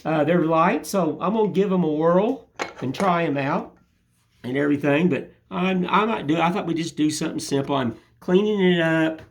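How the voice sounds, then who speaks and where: An older man with a raspy, hoarse voice from a cold, chatting casually and rambling as he hosts his woodcarving channel. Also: fairly high pitch, moderate pace. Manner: rambling, homespun, folksy filler phrases. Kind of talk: casual chat to camera.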